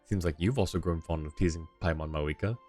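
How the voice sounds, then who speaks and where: A man's voice: sits low, fast, a little mellow. A man casually commentating on a game stream and reading dialogue choices aloud. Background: game music.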